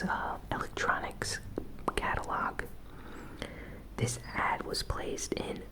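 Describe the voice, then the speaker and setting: Hushed ASMR whisper, unhurried news host. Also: poor audio. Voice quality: soft, breathy, unvoiced whisper. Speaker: host of a gaming news show.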